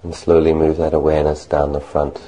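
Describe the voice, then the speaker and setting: A man leading a guided meditation as a monk, speaking gently and unhurriedly in short phrases with long pauses. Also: extremely low pitch, monotone, low-quality recording.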